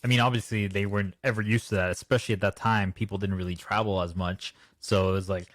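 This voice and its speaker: A man in his thirties from the United States leads a podcast chat with curious questions and a lightly joking touch. His voice is on the low side and sounds dry and close, with no room around it. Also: fairly even intonation, quick pace, fairly bright tone, dry recording. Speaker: podcast co-host. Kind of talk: conversational podcast interviewing.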